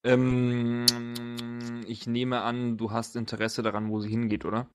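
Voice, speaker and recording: A man narrating a tabletop role-playing game as game master, improvising in a casual, halting, colloquial way. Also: mid-range voice, monotone, fairly bright tone, clean tone.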